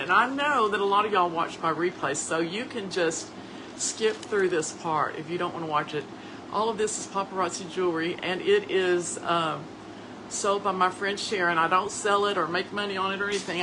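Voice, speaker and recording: A hoarse woman chats easily and warmly, talking to her audience as if they were her own relatives. Her delivery is loose and conversational, with homespun asides. The audio has a clear layer of background hiss. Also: medium pitch. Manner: chatty, folksy, addresses listeners as family. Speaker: host. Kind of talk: casual livestream chat.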